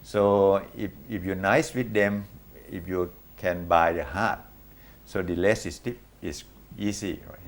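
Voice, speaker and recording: Thai-accented guy, very low gravelly voice, slow advice, says okay a lot. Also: fairly even intonation. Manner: measured, advisory, short repeated okays. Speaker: management coach guest. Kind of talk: interview answers.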